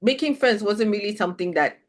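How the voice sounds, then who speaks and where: A woman's voice: mid-range voice, expressive, brisk. A middle-aged woman from Nigeria, hosting an interview chat and speaking reflectively, often restarting her sentences. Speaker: host.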